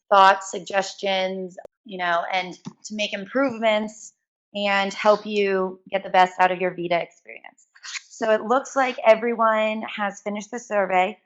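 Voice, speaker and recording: A woman's voice: mid-range voice, a bit bright. A woman hosting a webinar in a casual presenting style. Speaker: webinar host. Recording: dull lo-fi webinar audio.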